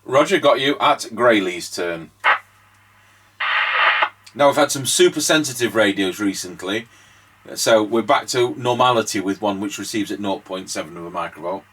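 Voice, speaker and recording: A man's voice: on the low side, moderate pace, a bit bright, slightly rough. A man giving casual demonstration commentary as a radio repair host and operator.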